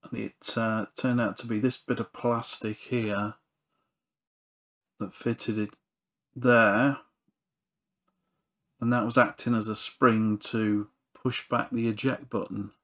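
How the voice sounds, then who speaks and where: A man's voice: fairly low pitch, flat delivery, slow, long pauses, a bit bright. A man narrating a hands-on repair walkthrough in an understated, matter-of-fact way. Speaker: repair channel presenter.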